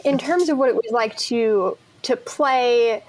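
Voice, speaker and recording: A woman's voice: on the high side, a little slow, poor audio. A woman who acts in audio drama, talking casually as an interview guest. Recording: clear background room noise.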